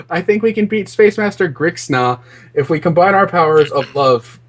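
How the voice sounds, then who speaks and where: A man's voice: high voice, expressive, moderate pace. A man voicing earnest, lovestruck young witches in a mock-tender, melodramatic story reading. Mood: earnest, loving.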